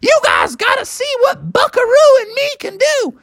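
An adventurous little bear cub in a storybook. He is squeaky, exuberant and excitable, pitched far up with big swoops. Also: normal speed, vocal fry, no echo, clean studio sound, full character voice. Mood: thrilled. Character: Bubby, wildly excited adventurous young boy bear.

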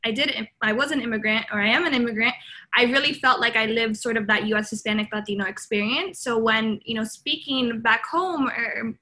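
Bright, higher-voiced young woman from Miami. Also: a little fast. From Miami, born in Colombia. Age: 20s. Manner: earnest, informal, frequent "like" and "you know". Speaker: interview guest, public relations account executive. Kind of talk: conversational interview answers.

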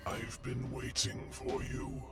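Man voicing a slow, flat, menacing ancient machine warrior. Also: mid-range voice, over loud music, close to an everyday voice. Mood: dry, mocking. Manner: slow, deliberate, coldly mocking. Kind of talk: sci-fi audio drama acting.

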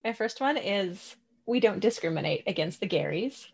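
Husky-voiced woman podcast co-host. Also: mid-range voice, animated, normal speed, a little mellow. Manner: enthusiastic, teasing. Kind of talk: casual chat.

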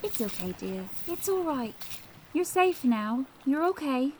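A woman's voice: lively intonation, normal speed, sharp tone, a little hiss or hum, heavily acted. A woman voicing a kindly elderly female neighbor who fusses over the narrator in a motherly, fretful way. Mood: soothing. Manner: fretful, motherly, high and thin.

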